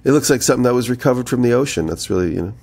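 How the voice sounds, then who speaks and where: A man's voice: medium pitch, animated, rapid-fire, gravelly, a little mellow, slightly rough. A man co-hosting a podcast in casual chat, telling rambling anecdotes, slightly nasal from a head cold. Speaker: podcast co-host.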